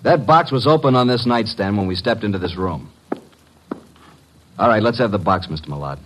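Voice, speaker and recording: Low-voiced, wry radio detective. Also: male voice, expressive, normal speed, a bit bright, a little hiss or hum, rough recording. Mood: probing, firm. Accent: American. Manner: wry, probing, blunt. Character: shrewd, unflappable male private detective's assistant, questioning suspects. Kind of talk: old-time radio detective drama acting.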